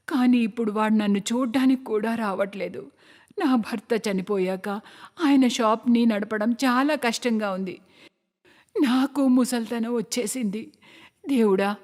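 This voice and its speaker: A woman's voice: medium pitch, darkish tone, close to an everyday voice. Woman doing poor old widow in a cartoon, weary n pleading, big echoey reverb. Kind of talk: acted cartoon story dialogue. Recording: long hall-like reverb.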